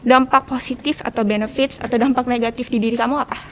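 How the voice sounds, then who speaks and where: Quick-talking, high-voiced teenage girl, Jakarta accent. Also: fairly even intonation, fairly bright tone. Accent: Jakarta Indonesian. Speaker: podcast guest, student organization leader. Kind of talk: casual conversational explanation.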